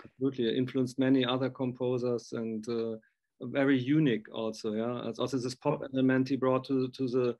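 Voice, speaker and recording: A man's voice: mid-range voice, flat delivery, a little fast, a little mellow, highs cut off. A middle-aged man, chatting effusively as an interviewer on a video call from a room at home. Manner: effusive, enthusiastic. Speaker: interviewer, film music fan. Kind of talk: casual interview chat.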